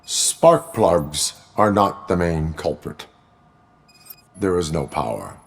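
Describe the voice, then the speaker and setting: Deep, deadpan cyborg cop. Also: male voice, gravelly, exaggerated voice. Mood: flat, businesslike. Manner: deliberate, clipped, formal, deadpan. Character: stoic male cyborg police officer, formal and dutiful. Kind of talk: video game voice acting.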